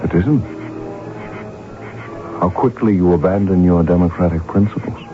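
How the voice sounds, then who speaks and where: An actor playing a courteous, smitten royal heir with a courtly, gently teasing manner, in radio drama style. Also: male voice, sits low, moderate pace, lightly acted. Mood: tender. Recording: muffled old radio broadcast, music behind. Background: music.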